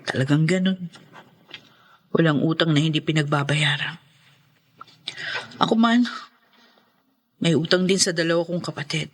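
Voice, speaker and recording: A woman's voice: deep voice, moderate pace, pauses often. A woman acting as a conflicted, resigned new widow in a Filipino radio drama.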